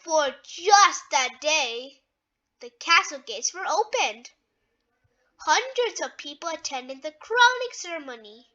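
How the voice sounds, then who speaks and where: A woman reading a children's story aloud in a deliberate, expressive way with emphatic phrasing. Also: high voice, poor audio.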